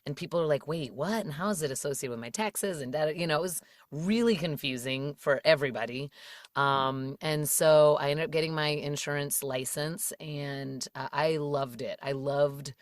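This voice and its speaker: A woman in her thirties from the Washington DC area chats as a podcast guest with a low voice. She talks with plenty of fillers and easy laughter. The close mic gives a dry sound with no room echo. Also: normal speed. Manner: chatty, laughs often, many fillers. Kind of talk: casual interview chat.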